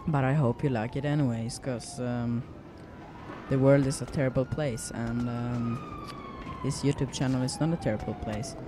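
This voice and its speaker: A man's voice: medium pitch, fairly even intonation, normal speed, background noise. A young man from Sweden with a Swedish accent, rambling through casual gameplay commentary with many fillers and restarts. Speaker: gaming YouTuber.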